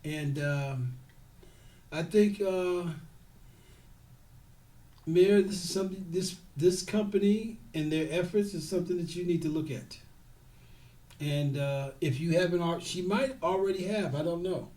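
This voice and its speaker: A man's voice: sits high, unhurried, pauses often, dark tone. A man who trains security guards, speaking in a casual interview and repeating his points for emphasis.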